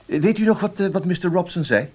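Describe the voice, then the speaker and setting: A man's voice: sits high, fast, darkish tone, slight background noise, lightly acted. An actor playing a chatty police detective in a radio play, politely bashful and hesitant while he pries, repeating himself. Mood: apologetic, probing. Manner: hesitant, repetitive, disarmingly polite. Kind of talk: radio drama acting.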